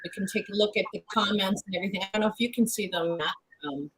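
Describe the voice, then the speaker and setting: A woman's voice: medium pitch, steady tone, quick pace, fairly bright tone, husky, rough recording. A woman co-hosting a horror livestream, chatting casually with a guest and greeting the viewers.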